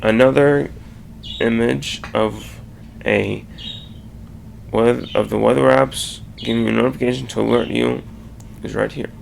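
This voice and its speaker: A man giving an informal explanatory slide presentation, haltingly, with stumbles and restarts mid-sentence. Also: mid-range voice, creaky, a little hiss or hum.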